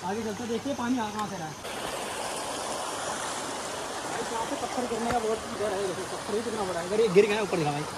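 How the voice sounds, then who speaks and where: A man's voice: high-pitched, racing. A man with a North Indian accent narrating a casual travel vlog outdoors, talking to viewers in a colloquial, exclamatory way. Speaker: vlogger. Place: outdoors, much of it on a moving motorbike. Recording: noisy outdoor action camera, vehicle and street noise.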